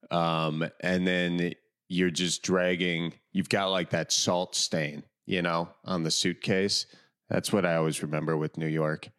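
Deep-voiced Wisconsin man hosting a chat. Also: a bit bright, clear, resonant tone. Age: 30s. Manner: easygoing, rambling, with "um" and "uh" pauses. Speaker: podcast host. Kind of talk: casual podcast chat.

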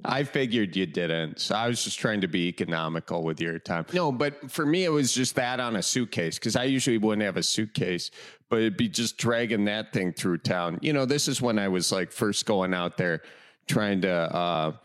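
A man in his late thirties from Wisconsin with a Wisconsin accent, hosting a podcast in an easygoing, rambling way with "um" and "uh" pauses. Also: on the low side, animated, a bit bright. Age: 30s. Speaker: podcast host. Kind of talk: casual podcast chat.

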